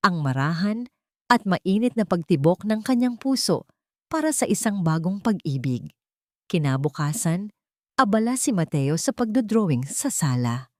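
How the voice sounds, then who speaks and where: Low-voiced woman narrating a sentimental drama. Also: a little mellow, no room sound, studio quality. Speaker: storyteller, narrator.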